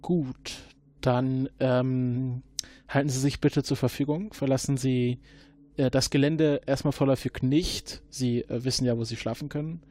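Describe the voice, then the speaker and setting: A man's voice: mid-range voice, fairly even intonation, measured pace, warm tone. A man playing an investigator in a tabletop game, hesitant with frequent fillers. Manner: hesitant, many fillers, interrogating. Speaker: player.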